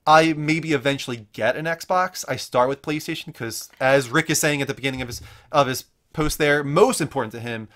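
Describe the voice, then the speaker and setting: A man's voice: medium pitch, brisk, fairly bright tone. A man hosting a casual gaming podcast, talking through his opinions conversationally. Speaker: podcast co-host.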